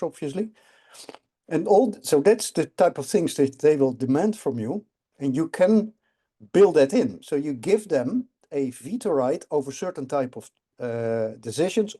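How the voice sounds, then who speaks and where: A man's voice: medium pitch, dark tone. A middle-aged man from the Netherlands, a guest explaining things on a video call and often checking in with "correct?". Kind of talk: casual call conversation.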